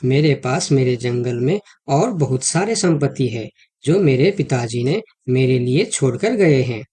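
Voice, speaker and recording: A crafty jackal swindler from a children's animated tale, voiced by a man. He speaks at a middling pitch in a coaxing, falsely friendly way. Every line is smooth salesmanship. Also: unhurried. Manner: persuasive, smooth-talking. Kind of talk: Hindi children's cartoon story dialogue.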